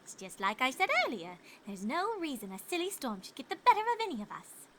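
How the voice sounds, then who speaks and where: A woman's voice: sits high, sing-song, fairly quick, a bit bright, slight background noise. A woman voicing a refined, dramatic young female unicorn pony in a fan fiction reading, with a genteel, theatrical manner. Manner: theatrical, genteel, calls others darling. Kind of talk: fan fiction character voice acting.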